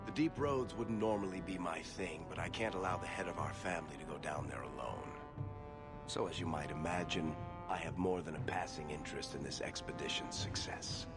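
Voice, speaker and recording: An actor playing a wry, smooth-talking dwarf rogue and dealmaker in a video game, persuasive and glib. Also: male voice, low voice, a little fast, close to an everyday voice. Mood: smooth, flattering. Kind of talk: video game cutscene dialogue. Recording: music behind the voice. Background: background music.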